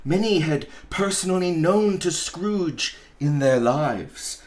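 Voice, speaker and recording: A man narrating a storybook expressively, with deliberate, dramatic phrasing. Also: fairly high pitch, animated, darkish tone. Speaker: storybook reader, pastor.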